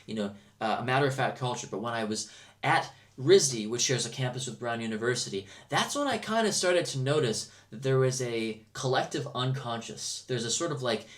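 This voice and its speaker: An American man chatting casually and telling personal anecdotes, halting and circling back over his words. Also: medium pitch. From Milwaukee, United States. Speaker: podcast host. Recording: noticeable background noise.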